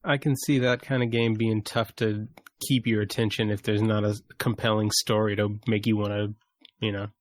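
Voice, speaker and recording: A man's voice: on the low side, little pitch movement, normal speed, no room sound. A man chatting casually as a co-host on a podcast. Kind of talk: casual chat.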